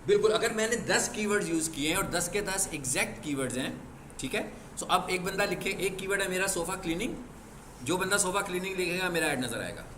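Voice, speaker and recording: A man teaching a classroom lesson in a fairly high voice. He asks rhetorical questions and then answers them himself, and he stays stern about discipline. The room echoes and there is a faint hum behind him. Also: lively intonation, quick pace, sharp tone. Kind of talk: classroom lecture.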